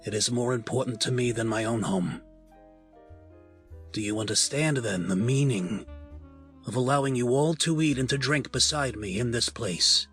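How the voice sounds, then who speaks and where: A man playing a proud, dignified crime family patriarch in an audio drama, speaking formally and with measured gravity. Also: medium pitch, a bit bright, soft background music, lightly acted. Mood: gracious, solemn.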